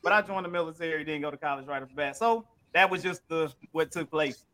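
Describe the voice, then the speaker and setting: High-pitched man in casual podcast banter. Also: fast, pushed and bright.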